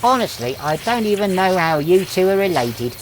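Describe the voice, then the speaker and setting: A woman's voice: fairly low pitch, big pitch swings, moderate pace, slight background noise, poor audio. A woman playing a mocking, bossy companion with dry sarcasm in a comedy audio drama. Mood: dry mockery. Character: mocking, bossy companion needling monster hunters.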